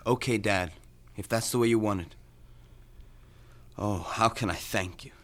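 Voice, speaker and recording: An actor playing an earnest, uneasy young grown son in a radio drama, pleading in manner. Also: male voice, on the low side, a little slow, fairly bright tone. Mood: earnest, grateful.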